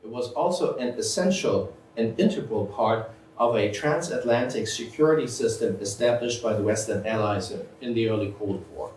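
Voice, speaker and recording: A man's voice: medium pitch, darkish tone. Man lecturing from across a roomy hall. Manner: measured, methodical, with occasional throat-clearing. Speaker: lecturing historian. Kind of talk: academic lecture. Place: lecture room. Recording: roomy, from far off, faint hiss.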